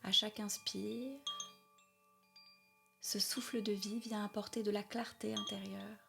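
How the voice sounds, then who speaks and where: A woman leading a guided yoga nidra meditation in a soothing, unhurried way, with soft, breathy phrase endings. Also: mid-range voice, flat delivery. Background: meditation music with chimes.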